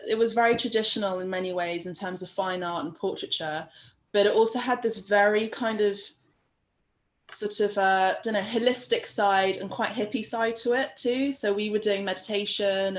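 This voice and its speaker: A woman with a London accent talks over a video call as a guest artist. Her speech is loose and rambling, full of fillers and quiet laughs. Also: mid-range voice, steady tone, moderate pace. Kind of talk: casual interview chat.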